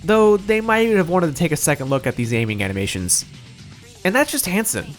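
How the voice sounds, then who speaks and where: A man's voice: on the high side, very animated, brisk. A man narrating a scripted video game review in a wry, opinionated, casually profane manner, as the reviewer himself. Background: music.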